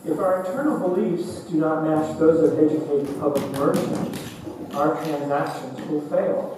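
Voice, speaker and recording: A man's voice: on the high side, normal speed, close to an everyday voice. A man acting a didactic orator in a stage play, delivering a formal, declarative monologue. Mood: formal, instructive. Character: didactic male orator lecturing on public society. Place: theater stage. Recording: echoey theater, distant mic, faint noise.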